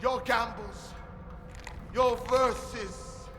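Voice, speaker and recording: An actor playing a fervent, theatrical zealot who muses on death in declamatory, archaic phrasing in a video game. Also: male voice, very high voice, steady tone, very slow, a bit bright, a little hiss or hum, full character voice. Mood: brooding, theatrical. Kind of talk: dramatic video game acting.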